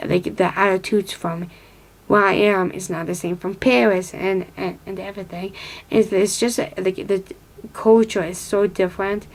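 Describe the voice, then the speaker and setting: A young American woman in her twenties talks casually to her viewers, rambling and piling up fillers as she loses and finds her thread. Her voice sits at an ordinary middle pitch, and she glances down at notes as she goes. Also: poor audio. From upstate New York, United States. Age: 20s. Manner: rambling, many fillers and restarts; reads from notes, looking down. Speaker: vlogger. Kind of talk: casual talk to camera.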